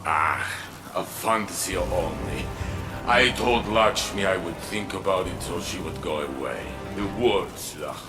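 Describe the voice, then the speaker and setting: Casting: honorable old-soldier type for a game, deep voice, weighty unhurried phrasing, plain-spoken. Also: male voice, reverberant. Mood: wry, wistful. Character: gruff, honorable veteran warrior, blunt and wistful. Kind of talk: dramatic video game acting. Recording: music under the voice. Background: game music.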